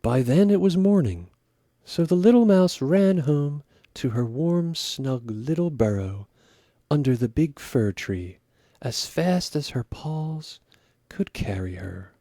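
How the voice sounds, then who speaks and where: A man reading a children's story aloud with expressive narration. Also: fairly high pitch, slow pace, dry recording, studio quality.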